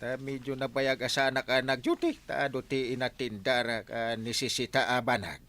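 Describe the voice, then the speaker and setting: A man's voice: medium pitch, fairly even intonation, moderate pace, sharp tone. A man playing a dutiful, curious village captain with an Ilocano accent and a questioning manner in an audio drama.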